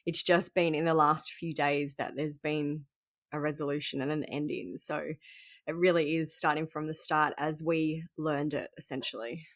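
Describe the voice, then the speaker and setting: A woman's voice: deep voice, steady tone, moderate pace. A woman from Australia, co-hosting a casual true crime podcast, giggly and conversational. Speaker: podcast co-host.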